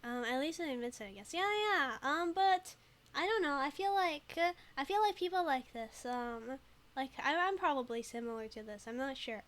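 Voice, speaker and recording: A woman with a high voice streams a game in a casual, rambling way. Her accent is American with a very faint foreign tinge from native Mandarin, and her delivery is hesitant and giggly. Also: animated, normal speed, a bit bright. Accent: American with a very slight foreign tinge. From United States, moved there very young. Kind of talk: casual live game commentary.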